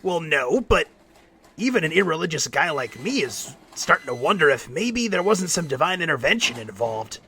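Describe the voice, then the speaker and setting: A concerned, wry mechanic friend played by a man in an audio drama. He speaks earnestly and haltingly in a slightly high, sharp voice with a marked creak. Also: sing-song, exaggerated voice. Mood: reassuring. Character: worried, loyal male mechanic friend, irreligious and wry.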